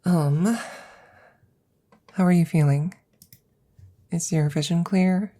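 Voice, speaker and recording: A woman playing a worried, tired scientist caring for her best friend in an intimate ASMR roleplay, breathy and often near a whisper, hesitant and reassuring. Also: on the low side, fairly even intonation, takes it slowly, warm tone. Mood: concerned, careful.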